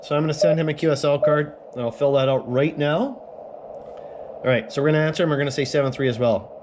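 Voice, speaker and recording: A man's voice: on the high side, a little fast. Canadian man narrating over a noisy camera mic. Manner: relaxed, explanatory, with many fillers. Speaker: ham radio operator. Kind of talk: casual narration of a radio contact. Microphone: action camera. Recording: noisy camera audio with Morse tones.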